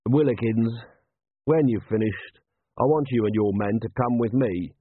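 A man's voice: medium pitch, normal speed, slightly rough, natural voice. A man voicing a weary, indignant watch commander, blunt and commanding, in an audiobook. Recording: thin, band-limited, phone-like sound.

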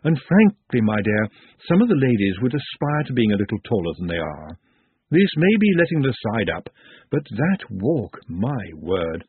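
A man's voice: fairly low pitch, sing-song, moderate pace. A man voicing a shrewd, grand female dwarf who runs fashion shows in a storybook, confiding and condescending. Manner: smooth-talking, confiding, condescending. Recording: muffled phone-like narrow band.

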